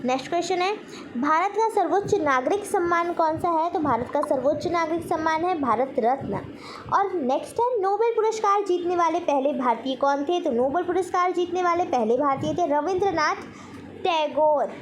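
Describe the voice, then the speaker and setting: A woman's voice: very high-pitched, a little hiss or hum, poor audio. A woman with an Indian accent teaching a quiz-style lesson, posing questions and answering them in an instructional way. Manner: instructional, asks each question then answers it, repeats key points. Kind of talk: general knowledge quiz lesson for exam students.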